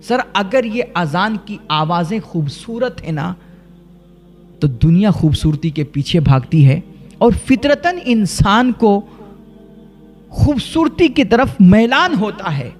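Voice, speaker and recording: A man from India preaches in an earnest, emphatic way, leaning on rhetorical questions and deliberate repetition. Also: sits high, sing-song, soft background music. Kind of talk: rhetorical religious sermon.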